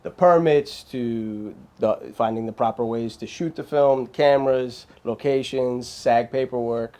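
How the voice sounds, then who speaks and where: Male guest, mellow low-bright voice, animated and rambling, lots of restarts. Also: a little slow. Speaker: filmmaker guest. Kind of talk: casual interview chat.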